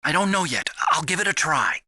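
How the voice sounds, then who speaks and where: An actor playing a nerdy, excitable computer hacker in a video game, earnest and eager as he explains things. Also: on the high side, a little fast, no room sound, full character voice. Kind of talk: dramatic video game voice acting.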